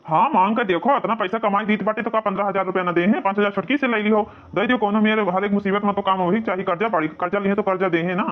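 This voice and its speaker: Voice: medium pitch, fairly even intonation, fast, hardly any pauses, natural voice. A voice actor playing a hard-up, plain-spoken working husband in a cartoon family comedy, matter-of-fact, with an Awadhi accent. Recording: dull, lo-fi muffled sound.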